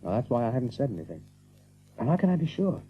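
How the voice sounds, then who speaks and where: A man's voice: medium pitch, very animated, fast talker, dull audio, slight background noise, natural voice. A man playing an earnest, cautious rabbit leader who questions things, in an audiobook drama. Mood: scheming, wary. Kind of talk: audiobook drama character acting.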